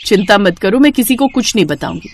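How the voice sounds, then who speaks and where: A woman's voice: mid-range voice, expressive, fast talker. A woman voicing a practical, knowing elder sister-in-law in a cartoon story, composed and matter-of-fact. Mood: reassuring.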